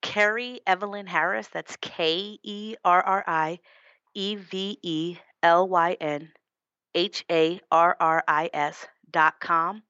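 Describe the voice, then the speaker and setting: A woman with an American accent and a notably low voice gives an interview as a candidate. She explains her points earnestly and with emphasis. The sound is slightly dull and roomy. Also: fairly even intonation, slow pace, bright, forceful tone, smooth. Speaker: political candidate being interviewed. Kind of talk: conversational political interview.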